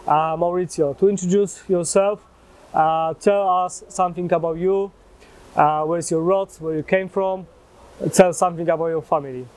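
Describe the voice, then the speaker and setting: A man's voice: high voice, steady tone, normal speed, a little mellow. A man interviewing a new staff member, speaking hesitantly with frequent fillers. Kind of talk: interview questions.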